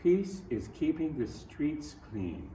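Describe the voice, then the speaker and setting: A man from Ohio with an American accent reads a picture book aloud to children, adding his own earnest, gentle comments in halting phrases. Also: on the high side, big pitch swings. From Ohio, United States. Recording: roomy echo, distant mic, faint noise.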